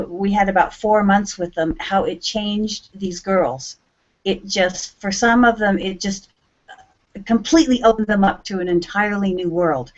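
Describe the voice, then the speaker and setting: An earnest woman talks as a guest in a casual interview, rambling a little and restarting her sentences. Her voice sits at a middle pitch, and the recording sounds dull and lo-fi. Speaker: guest singer-songwriter.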